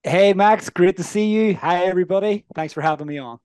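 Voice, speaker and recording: A man in his thirties with a Northern Irish accent, telling his story as a coaching guest in an earnest, energetic way. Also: sits high, a little fast, clear, resonant tone, no echo. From Northern Ireland. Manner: energetic, earnest, asks the listener questions. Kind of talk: casual interview storytelling.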